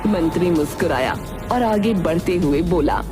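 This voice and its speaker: A woman's voice: lowish voice, moderate pace. A woman narrating a scripted dramatic folk tale as a storyteller. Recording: music under the narration.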